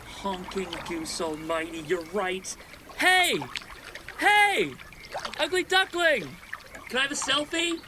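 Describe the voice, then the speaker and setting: A man's voice: very high-pitched, very animated, slow, background noise, exaggerated voice. A man playing a gushing, easily offended male waterfowl fan in a comedic audio drama. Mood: excited, starstruck.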